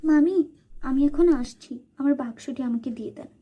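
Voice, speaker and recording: A woman's voice: high-pitched, moderate pace, dark tone, close to an everyday voice. A woman voicing an earnest, trusting young village girl in a children's cartoon, speaking in a polite, eager way.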